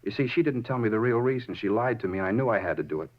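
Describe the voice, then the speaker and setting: A muffled 1950s radio recording of a rambling murder suspect. His lowish voice moves quickly as he insistently justifies himself, circling small details and repeating his points like someone unhinged. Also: animated. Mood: earnest. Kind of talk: 1950s radio drama acting.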